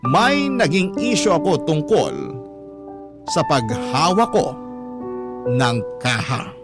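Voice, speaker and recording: A man's voice: a little high, sing-song, takes it slowly. A man narrating a scripted first-person radio story as an earnest letter sender recalling the past. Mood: foreboding. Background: prominent music.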